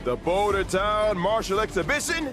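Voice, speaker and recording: A flamboyant, polished showman presenting a game program. The male voice sits fairly high and swings expressively through grand, salesman-like announcements, with clear music behind it. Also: expressive, normal speed. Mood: grand hype. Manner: theatrical, grandiose salesman patter. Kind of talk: scripted promotional game-show announcing.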